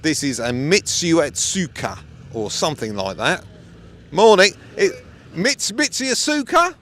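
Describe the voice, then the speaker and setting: A man's voice: on the high side, very animated, measured pace, fairly bright tone, a little hiss or hum. A man with a British accent chatting casually and enthusiastically with car owners outdoors, restarting his words often. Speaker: vlogger walking a car show.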